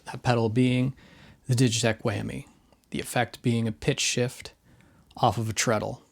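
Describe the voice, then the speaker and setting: A middle-aged man talks through an informal explainer in a medium-pitched voice. He sounds casual and offhand, like a guitarist hosting his own video. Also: little pitch movement, normal speed, creaky, slightly rough. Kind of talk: informal explainer narration to camera.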